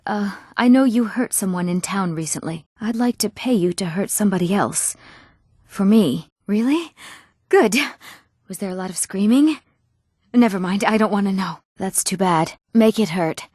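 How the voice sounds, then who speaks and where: An actress playing an eager, earnest young townswoman in a fantasy video game, with clear, expressive scripted delivery. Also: mid-range voice, moderate pace, no room sound, clean studio sound. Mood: hushed, eager. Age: young adult.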